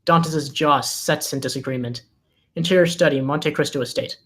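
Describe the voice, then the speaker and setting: A man's voice: a little high, normal speed, a bit bright. A man reading scripted screenplay directions as the narrator of a table read.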